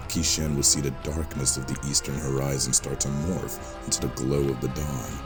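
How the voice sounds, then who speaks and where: A man giving scripted, dramatic narration as the storyteller of an audio drama. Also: very deep voice.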